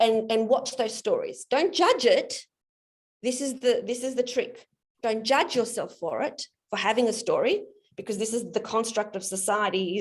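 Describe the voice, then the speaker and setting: A woman coaching a guest through his limiting beliefs on a video call, in a friendly, explanatory way. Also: on the high side. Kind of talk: live coaching demonstration in an online session.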